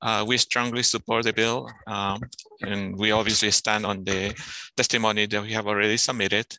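A man's voice: lowish voice, level tone, normal speed, bright, forceful tone, no room sound, low-quality recording. A man giving brief formal testimony for a city department over a video call. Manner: plain, brief, with fillers.

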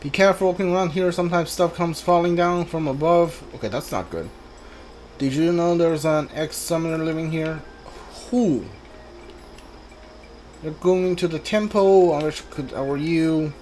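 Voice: mid-range voice, moderate pace, darkish tone, slight background noise. A video game streamer giving casual commentary and reading on-screen dialogue aloud, muttering informal asides. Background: video game ambience.

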